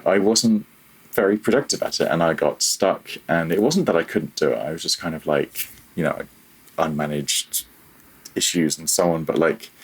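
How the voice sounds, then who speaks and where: A man's voice: sits low, slightly rough, poor audio. A man speaking casually and reflectively as a podcast guest, hesitant and self-deprecating in how he phrases things. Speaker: podcast guest, freelance audio developer.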